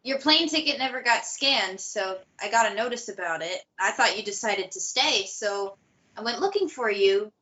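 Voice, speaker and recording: A woman's voice: medium pitch, animated, normal speed, sharp tone. A woman in a table read voicing a protective young woman who speaks earnestly and insistently. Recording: muffled lo-fi recording.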